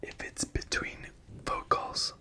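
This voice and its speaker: A speaker whispering a gentle ASMR-style language lesson, patiently repeating each letter and phrase and offering encouragement. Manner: whispering softly, repeating each sound slowly. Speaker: Spanish teacher.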